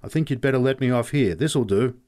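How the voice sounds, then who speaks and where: A man's voice: mid-range voice, brisk, dark tone, pitched above the performer's own voice, natural voice. A man voicing an earnest, naive young country boy in storybook dialogue, polite and puzzled. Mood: polite, meek.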